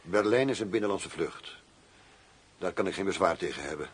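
Low-voiced, haughty arms buyer. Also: male voice, moderate pace, breathy edge. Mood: firm, businesslike. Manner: formal, firm, self-assured. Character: cool, demanding arms buyer, haughty and exacting. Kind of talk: Dutch radio drama acting. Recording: noisy old radio drama recording.

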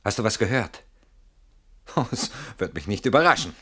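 Radio drama casting: an indignant, suspicious husband, low husky voice, tense and dismissive, snapping back when accused. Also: male voice, big pitch swings, normal speed, heavily acted. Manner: tense, indignant, sharp retorts. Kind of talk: German radio play acting.

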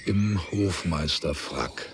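A man narrating a literary German radio drama in measured, fragmentary phrases. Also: sits low, slight background noise.

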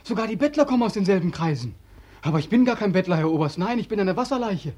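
An actor playing a bitter, despairing young war veteran in a 1940s German radio drama, anguished, sardonic and accusing. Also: male voice, high-pitched, lively intonation, fast talker, a little mellow, dry recording. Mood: shrill, mocking. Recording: dry 1940s radio studio recording.

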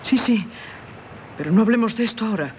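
A woman's voice: on the high side, animated, a little fast, darkish tone, natural voice. Radio drama, Castilian Spanish: actress as anguished married woman, grave confessional delivery, faint noise on a dull old recording. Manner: solemn, confessional, theatrical delivery. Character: tormented married woman haunted by a former lover. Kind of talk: 1970s radio theatre acting.

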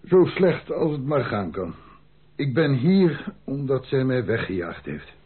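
A man's voice: medium pitch, sing-song, a little slow, slightly rough. An actor playing a frank, loyal army captain in a Dutch radio drama, deferential but emphatic as he speaks. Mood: gruff, indignant. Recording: muffled, band-limited old radio recording.

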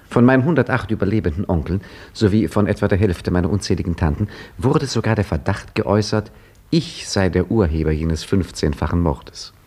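A wry, ironic storyteller in an old radio play, his voice somewhat low and slightly husky. He tells his own tale with dry theatrical relish. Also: darkish tone. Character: Hakim, wry storyteller looking back on his youth, lively and ironic. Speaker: narrator and protagonist. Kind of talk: 1950s radio play acting.

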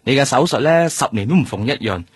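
An actor playing a reassuring, casually teasing doctor in a Cantonese radio drama, with a husky voice and a Hong Kong accent. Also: male voice, medium pitch, expressive, quick pace, no room sound. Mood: jovial.

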